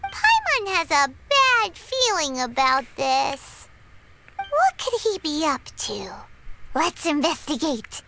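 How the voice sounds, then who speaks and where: A woman voicing a tiny childlike fairy companion in a video game, excitable, bossy and dramatic. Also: high-pitched, takes it slowly, heavy background noise, heavily acted. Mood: suspicious. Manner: refers to self in third person, dramatic. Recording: loud game music over voice.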